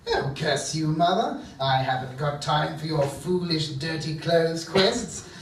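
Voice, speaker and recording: A man's voice: on the high side, expressive, unhurried. A man playing a petulant, cackling evil scientist in a live comedy radio play, pompous and full of exclamations. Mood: scheming, exasperated. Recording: echoey, distant, very noisy stage recording.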